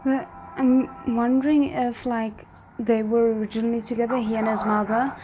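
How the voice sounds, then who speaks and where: A woman's voice: a little high, little pitch movement, moderate pace, lo-fi sound, over music. A woman giving casual reaction commentary as a host, musing and thinking aloud. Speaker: host of a drama reaction channel.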